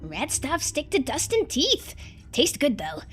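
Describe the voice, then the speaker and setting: A woman's voice: high voice, huge pitch swings, unhurried, a bit bright, soft background music, voice pushed up, exaggerated voice. A woman voicing a small talking rodent in a video game, speaking in broken, childlike third-person grammar, proud of simple treats. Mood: pleased, savoring.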